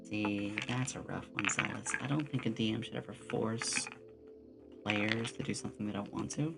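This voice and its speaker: Creaky-voiced streamer chatting over loud music. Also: mid-range voice, moderate pace. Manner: rambling storyteller, acts out short quotes. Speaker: streamer, VTuber host. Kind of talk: casual chat with stream viewers; relaxed ASMR stream.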